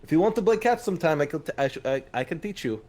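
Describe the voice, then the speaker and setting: A man's voice: on the high side, animated, very fast, darkish tone, voice pushed up, lightly acted. A man voicing Dorian, a terse, offhand male crew member in a tabletop game. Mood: dismissive.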